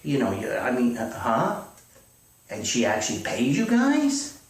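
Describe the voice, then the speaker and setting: A man puts on a self-important yet hapless author in a comedy phone skit, excitable and stubbornly positive. His lines wander and stammer, with lively swings in pitch. The recording is very roomy, far from the mic, with faint noise. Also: measured pace. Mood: resigned, crestfallen. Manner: stammering, rambling, repeating himself. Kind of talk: one-sided comedy phone call skit. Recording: echoey distant old recording, faint noise.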